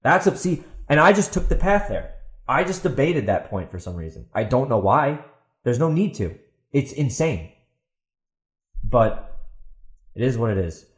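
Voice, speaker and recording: Male podcast host, solo rant, blunt and combative, repetitive phrasing, medium pitch, roomy echo. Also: very animated, a little slow, pauses often. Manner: combative, exasperated, repeats himself, blunt with swearing. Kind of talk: ranting solo commentary, partly reading out a post. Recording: roomy, echoey sound.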